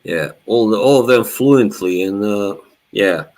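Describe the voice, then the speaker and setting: A man's voice: on the low side, a little slow, a little mellow. A young man from Bosnia, whose first language is Bosnian, hosting a casual live chat in a laid-back way with frequent laughs. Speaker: live stream host.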